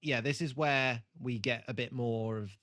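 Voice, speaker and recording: A man's voice: mid-range voice, fairly even intonation, normal speed, fairly bright tone. A man co-hosting a casual TV re-watch discussion, talking in an analytical, hedging way. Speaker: podcast co-host.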